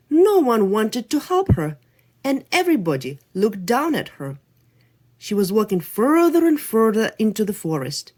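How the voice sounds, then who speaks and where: A woman reading a folk tale aloud for children, talking in an animated, expressive way. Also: medium pitch. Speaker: storyteller.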